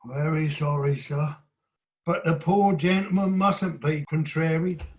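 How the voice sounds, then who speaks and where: A man's voice: a little high, fairly even intonation, unhurried, close to an everyday voice, rough recording. A man playing a deferential, placid working man in a comic period play, speaking in a polite, folksy way. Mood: placid, soothing.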